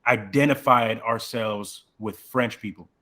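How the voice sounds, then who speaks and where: A man's voice: fairly low pitch, measured pace. A man hosting a podcast, talking in a casual, slangy way as he tells stories from growing up. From Central Africa, raised in Texas. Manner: casual, slangy, relaxed storytelling. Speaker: podcast host. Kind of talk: podcast conversation.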